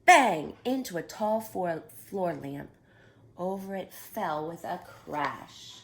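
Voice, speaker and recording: A woman reading a children's picture book aloud at storytime, animated and pausing to ask her young listeners questions. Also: lowish voice, slight background noise.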